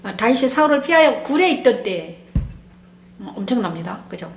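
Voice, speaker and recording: A woman pastor explains scripture in an informal devotional way, halting often as she goes. Her throat sounds sore and strained, and the room adds a clear echo. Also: a little high, very animated, a little hiss or hum. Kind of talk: devotional Bible exposition, informal. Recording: roomy echo.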